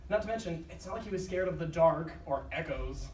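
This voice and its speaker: A woman's voice: deep voice, expressive, a little fast, a little mellow, slight background noise. A woman narrating a scripted live show in a casual, conversational way with wry asides. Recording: echoey live stage, distant, music behind.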